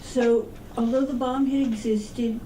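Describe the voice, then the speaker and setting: A woman in her seventies giving a talk at a meeting, speaking softly and with hesitant restarts. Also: fairly high pitch, fairly even intonation, unhurried. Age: 70s. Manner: soft-spoken, hesitant restarts. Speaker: guest speaker. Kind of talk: public talk. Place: meeting room. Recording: echoey room, far from the mic, noisy.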